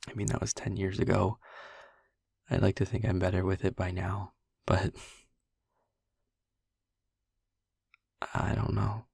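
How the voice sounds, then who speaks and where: Man acting as a husky, hesitant vampire boyfriend. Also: no room sound, studio quality, exaggerated voice. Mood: somber, reflective. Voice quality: husky, gravelly, breathy low voice. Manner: hesitant, halting, soft-spoken, confiding. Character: gentle young-looking male vampire boyfriend confiding painful past. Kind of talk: intimate audio roleplay monologue.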